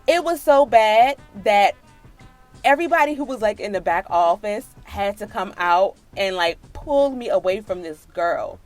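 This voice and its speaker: A woman's voice: mid-range voice, expressive, measured pace, faint music behind. An American woman telling a personal story and giving advice in a casual, slangy, emphatic way, speaking straight to her listeners. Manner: emphatic, slangy, sisterly direct address to listeners. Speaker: storytelling vlogger.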